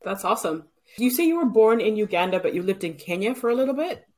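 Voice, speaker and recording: A woman's voice: medium pitch, sing-song, fast, a little mellow. A woman from Canada hosting a podcast and asking questions in a casual, chatty interview style. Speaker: podcast host.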